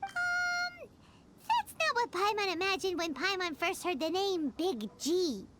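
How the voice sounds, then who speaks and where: A woman voicing a small, excitable childlike fairy companion in a video game, squeaky and easily puzzled. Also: measured pace, very noisy, heavily acted. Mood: surprised. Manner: speaks of self in third person, squeaky.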